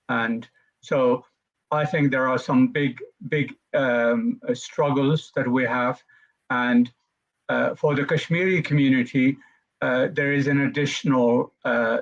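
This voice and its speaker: A man talks slowly on an online panel, pausing often as he gathers his thoughts. His voice sits at an ordinary pitch and comes through a slightly dull, lo-fi video-call line. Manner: measured, thoughtful, many hesitations. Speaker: panel speaker.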